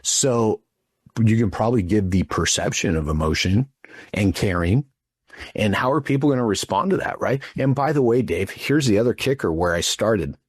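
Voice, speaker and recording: A man in his fifties with a fairly low, husky voice, close and dry. He talks in a blunt, informal way as a guest giving opinions, often tagging his points with a questioning check. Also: expressive, moderate pace, dry recording. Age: 50s. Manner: blunt, informal, punctuates points with "right?" and "dude". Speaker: interview guest, front desk trainer. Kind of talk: casual, animated opinion talk.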